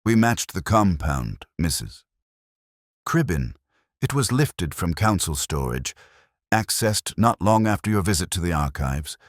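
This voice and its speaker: A man voicing a calm, methodical police inspector in a story reading, measured and quiet. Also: low voice, dry recording, studio quality, exaggerated voice.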